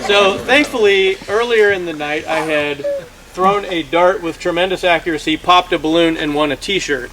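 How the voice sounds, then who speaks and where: A middle-aged man telling an informal comic story to a group, acting out the dialogue in an animated way. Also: on the high side, normal speed. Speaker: campfire storyteller. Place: outdoors among a gathered crowd. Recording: noisy outdoor recording with audience chatter. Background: audience sounds, outdoor ambience.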